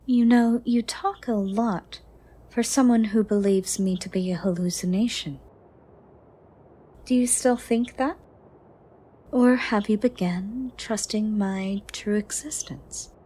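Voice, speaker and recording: A woman voicing a gentle, protective, ancient female shadow monster in a soft, formal, soothing way for an ASMR roleplay. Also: mid-range voice, slightly rough. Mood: wry, soft. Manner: slow, soft, formal, slightly archaic phrasing, soothing.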